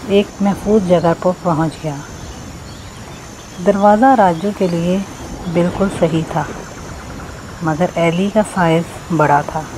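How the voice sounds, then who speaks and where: A woman with a slightly low voice narrates a scripted story for children. She is the storyteller, and there is clear background noise with insect ambience behind her.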